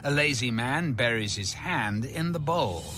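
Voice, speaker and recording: A man reading scripture aloud from a script in a measured, expressive, declamatory manner. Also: medium pitch, background noise. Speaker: audio Bible reader.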